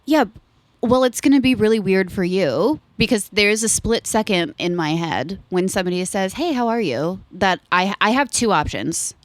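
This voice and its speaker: A woman's voice: mid-range voice, lively intonation, moderate pace, dry recording. A woman in her thirties co-hosting a podcast, playful and earnest in casual chat. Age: 30s. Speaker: podcast co-host.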